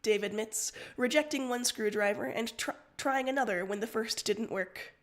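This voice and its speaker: A woman reading fan fiction aloud on a livestream in an expressive, informal way. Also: fairly high pitch, moderate pace. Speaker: story reader on a livestream.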